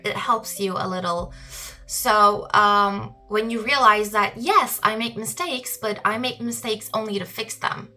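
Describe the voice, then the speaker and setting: A young woman, hosting a solo podcast and talking thoughtfully with frequent pauses. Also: mid-range voice, bright, forceful tone. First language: Turkish. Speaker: podcast host.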